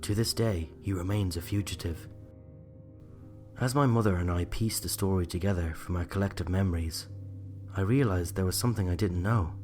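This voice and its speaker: Very deep-voiced Irish male storyteller. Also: a little mellow, faint music behind. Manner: measured, reflective. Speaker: writer and narrator. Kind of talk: scripted true-crime storytelling.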